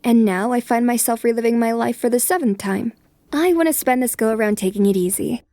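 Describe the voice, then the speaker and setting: A woman's voice: fairly high pitch, brisk, mellow, dry recording, close to an everyday voice. A woman playing a composed, determined young noblewoman in an anime dub, speaking politely and reflectively. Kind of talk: anime dub acting with inner narration.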